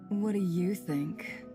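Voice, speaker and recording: A shrewd video game businesswoman, pitched on the low side. Her delivery is measured, cool and wry, with faint music underneath. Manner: measured, sardonic. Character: shrewd, cool, wry woman boss and deal-maker. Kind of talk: scripted video game voice acting.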